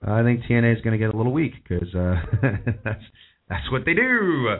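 Hoarse male host, opinionated and rambling, mid-range voice, lo-fi phone-quality audio. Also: medium pitch, sing-song, brisk. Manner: opinionated, rambling, repeats himself. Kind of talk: call-in wrestling talk show monologue.

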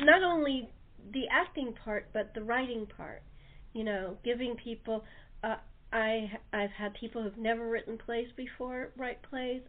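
Woman host on a tinny phone line. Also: fairly high pitch. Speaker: talk show host. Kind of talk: unscripted group chat.

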